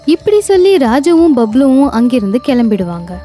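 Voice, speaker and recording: Woman narrator, high lively voice, mellow tone, cartoon horror story. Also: normal speed, faint music behind.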